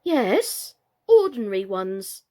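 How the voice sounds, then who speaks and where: A woman's voice: mid-range voice, big pitch swings, slow pace, warm tone. A woman reading a storybook, voicing a bossy young girl who is scornful and determined, with an emphatic, decisive delivery. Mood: scornful, insistent.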